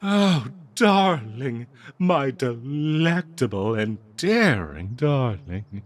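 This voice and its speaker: A man's voice: medium pitch, big pitch swings. A man playing a cursed talking dagger, possessive, lovestruck and bloodthirsty, in a melodramatic, cooing audio roleplay addressed to the listener. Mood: giddy, adoring. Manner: melodramatic, cooing, slow drawn-out delivery with manic giggles.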